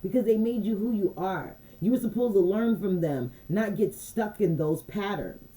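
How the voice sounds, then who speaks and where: Mellow-voiced woman giving a casual reading. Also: medium pitch, normal speed, poor audio. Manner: relaxed, conversational, repeats phrases and asks "you dig me?". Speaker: intuitive card reader. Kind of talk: casual channeled message livestream.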